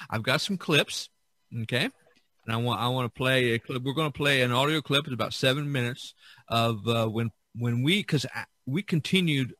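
Guy hosting a podcast, real dry sound, bright voice, chatty, keeps repeating words. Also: medium pitch, no room sound. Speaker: podcast host. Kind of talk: casual podcast introduction.

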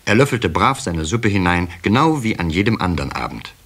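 A man's voice: low voice, sing-song, fairly quick. A man narrating a scripted children's story in a radio play, as the storyteller. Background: soft guitar music at times.

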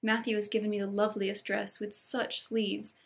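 Woman as young girl, storybook character, romantic, excitable, exclamatory, mid-range pitch. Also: moderate pace, creaky, close to an everyday voice. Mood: excited. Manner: effusive, exclamatory, earnest. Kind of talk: storybook read-aloud.

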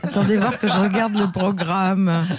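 A woman co-host plays straight person in a scripted radio comedy bit, teasing her guests. Her voice sits mid-range. A studio audience laughs loudly behind her. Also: medium pitch, steady tone, moderate pace, a crowd in the background. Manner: playful, feeding straight lines.

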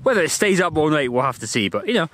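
A man's voice: a little high, very animated, fast talker, fairly bright tone, slight background noise. A man in his forties hosting an outdoor vlog on a hilltop, talking to a camera held at arm's length in a chatty, upbeat, self-mocking way. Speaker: vlog host, landscape photographer. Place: open windy hilltop outdoors.

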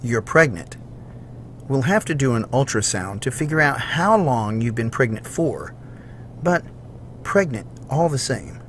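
A serious doctor in a visual novel game. He speaks in a medium pitch, careful and gently matter-of-fact, with a faint hum behind him. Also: sing-song, a little slow. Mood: grave, careful. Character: grave, apologetic male doctor breaking bad news.

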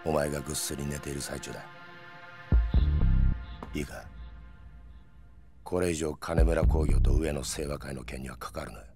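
Hard-nosed middle-aged cop, very deep pitch, menacing, blunt, video game drama, music behind. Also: male voice, fairly even intonation, normal speed, pauses often. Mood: threatening. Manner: rough, blunt, intimidating. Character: gruff, menacing middle-aged male police detective. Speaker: police detective.

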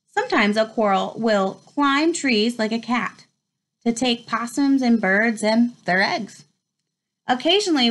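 A woman in her thirties reading a children's nature book aloud at home, with measured storytime phrasing. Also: on the high side, a bit bright. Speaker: read-aloud presenter. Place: small furnished room at home, indoors.

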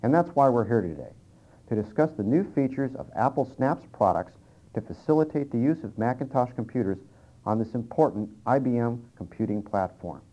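A man's voice: mid-range voice, lively intonation, fairly quick, dark tone. A man presenting scripted instructional material on an old cassette tape. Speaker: presenter and interviewer. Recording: dull early-1990s cassette tape transfer.

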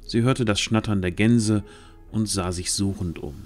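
A man's voice: lowish voice, fairly even intonation, a little slow, a little mellow, faint music behind. A man narrating a scripted fantasy audio drama as its storyteller.

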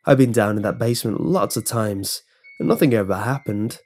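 A man's voice: fairly low pitch, normal speed, darkish tone, lightly acted. A man voicing an uneasy, hesitant male security guard in a horror story. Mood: nervous, defensive. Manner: hesitant, stammering when pressed.

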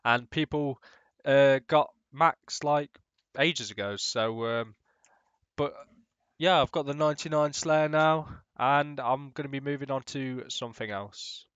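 Young male gamer, stuffed-up nasal voice from a cold, smooth tone, dry and slightly dull recording, casual rambling voice-over. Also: mid-range voice, measured pace, fairly bright tone, dry recording. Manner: rambling, many ums and restarts, swears casually. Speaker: gaming YouTuber. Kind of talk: casual gameplay commentary.

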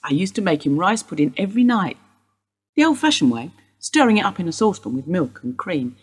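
A woman's voice: on the low side, very animated, a little fast, breathy edge, full character voice. A woman acting a wistful widowed housewife questioned by police in a radio play, rambling through memories. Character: widowed English housewife, Mrs Steele, wistful suspect.

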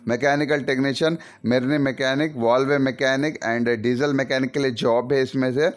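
A man from India reading through job adverts in a loose, chatty voice-over. He repeats his advice and drops in frequent "okay"s as he goes. His voice sits at an ordinary middle pitch. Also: steady tone, moderate pace. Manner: chatty, repetitive, advisory, with many fillers like "okay". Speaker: YouTube job-vacancy channel host.

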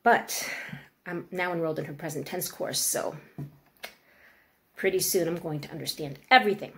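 A woman's voice: low-pitched, normal speed. American woman in a noisy home recording. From United States. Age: 40s. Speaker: vlogger reviewing a language course. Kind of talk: casual talk to camera. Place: small indoor room at a table.

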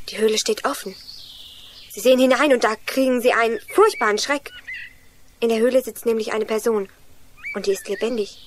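Earnest young girl played by a woman, high voice. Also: slight background noise, natural voice. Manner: eager, explanatory storytelling. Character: Juliane, earnest young girl lost in forest. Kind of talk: 1980s children's radio play acting.

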